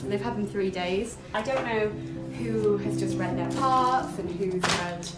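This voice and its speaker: Casual female talker, mid-pitched, echoey room, loud music behind. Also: a little slow. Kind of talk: casual explanatory chat.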